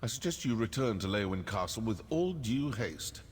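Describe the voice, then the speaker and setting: A man voicing a vengeful, sardonic assassin in a fantasy video game, husky, measured and coolly menacing. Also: on the low side, big pitch swings, slight background noise. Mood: dry menace. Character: vengeful, sardonic male assassin from a dark brotherhood. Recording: long cave-like reverb.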